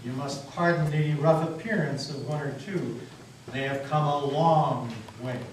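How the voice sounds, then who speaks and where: A man playing a suave, sinister devil who poses as a gentleman, formal and boastful, in a dramatic reading. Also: fairly high pitch, measured pace, natural voice. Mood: coolly sinister. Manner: formal, boastful, self-assured. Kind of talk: dramatic stage reading of a story. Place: meeting house. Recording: echoey hall, distant mic, faint noise.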